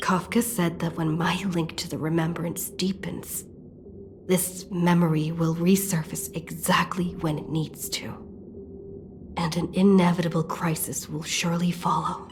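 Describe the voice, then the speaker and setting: An actress playing a pensive, earnest young heroine in a video game, talking in a hushed, introspective manner. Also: female voice, fairly low pitch, little pitch movement, measured pace, noisy background, close to an everyday voice. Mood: pensive, strained. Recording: music under the voice.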